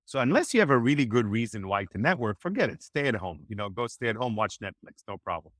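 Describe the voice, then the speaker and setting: A man whose first language is Hebrew, giving energetic, motivational networking advice as a podcast guest. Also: mid-range voice, fast. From Israel. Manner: energetic, motivational, acts out quoted dialogue. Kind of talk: animated podcast interview.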